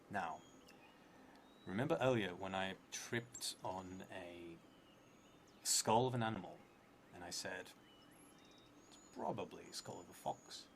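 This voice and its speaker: A man in his thirties with a creaky voice, thinking aloud and reading as he plays a solo tabletop game, halting and trailing into murmurs. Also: on the low side, a little hiss or hum. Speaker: solo tabletop roleplayer streaming.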